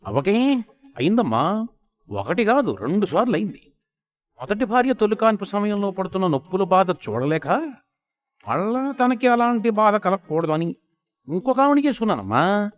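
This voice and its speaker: An earnest wedding priest phoning in to a radio show, acted by a man in a comedy audio story. The voice sits well above an ordinary male pitch, swinging as it draws words out with avuncular pomposity. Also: very animated, moderate pace, long pauses. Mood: earnest, boastful.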